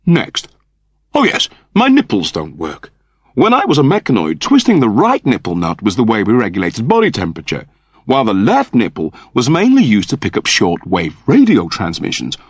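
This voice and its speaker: A man's voice: lowish voice, wildly swooping pitch, moderate pace, husky, no echo, clean studio sound, heavily acted. A man voicing an eager, fussy male android who has just become human, prim, wordy and naively earnest, in an audiobook comedy. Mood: fussy, embarrassed. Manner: prim, wordy, over-polite.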